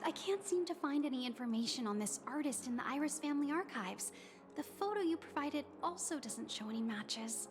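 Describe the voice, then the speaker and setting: A polite, high-voiced hotel receptionist. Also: female voice, lively intonation, brisk, over music. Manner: courteous and formal.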